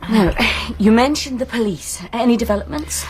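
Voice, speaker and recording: An actress playing a casual, defensive young university student in a British radio drama, with a British accent and an offhand, colloquial delivery. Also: female voice, on the high side, moderate pace, darkish tone, lightly acted, poor audio. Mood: friendly. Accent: British English.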